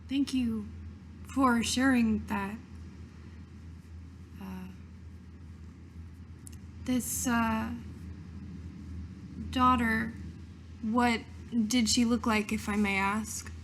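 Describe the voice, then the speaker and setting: A woman's voice: a little high, steady tone, drawn-out words, long pauses, fairly bright tone, slight background noise. A woman playing in a tabletop roleplay, asking questions politely and tentatively. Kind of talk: slow, in-character questioning.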